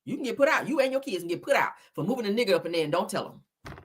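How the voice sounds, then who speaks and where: A woman giving animated online reaction commentary in a blunt, scolding, emphatic manner, swearing freely and repeating phrases for effect. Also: mid-range voice, very fast, husky. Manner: blunt, scolding, heavy profanity. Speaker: YouTuber commentating on celebrity and viral topics.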